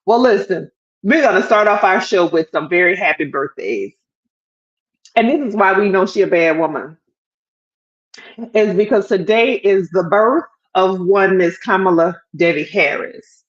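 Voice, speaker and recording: A middle-aged woman co-hosts a live talk stream in an animated, playful way. Her voice sits in a middling range and comes through dull, lo-fi stream audio. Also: medium pitch, a little slow. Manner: animated, playful, laughing often. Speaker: co-host. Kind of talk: lively live-stream chat.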